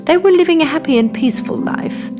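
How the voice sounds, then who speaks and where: A woman's voice: high-pitched, animated, fast talker. A woman narrating a scripted children's story over music.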